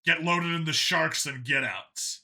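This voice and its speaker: A man voicing a gruff, determined male cop who talks tersely and grumbles, in an audio story. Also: on the high side, a little slow, pushed and bright, exaggerated voice. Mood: grim. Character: gruff, foul-mouthed male vigilante cop, grimly determined.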